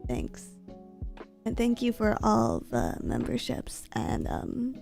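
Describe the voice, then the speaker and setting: A sick woman streamer speaks softly right into a close mic, her husky voice congested and mellow. She chats casually and reads out donations with a quiet, worn-down delivery. Faint music plays under her. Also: on the high side, very animated, slow pace. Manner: soft-spoken, mic held close. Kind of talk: casual chat reading donations. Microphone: close desk mic.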